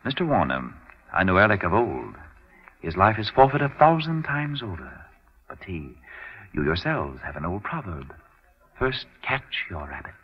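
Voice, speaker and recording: An actor playing a solemn, self-assured mystic detective with formal, theatrical phrasing in an old-time radio drama. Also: male voice, deep voice, very animated, moderate pace, breathy edge, full character voice. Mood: grave, confident. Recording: dull, muffled old radio recording.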